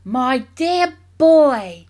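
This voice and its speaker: A woman voicing a wildly excited, bossy father from a children's storybook, ranting about grand schemes in emphatic, impatient exclamations. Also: sits high, very slow, voice pushed up, full character voice. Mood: feverishly excited.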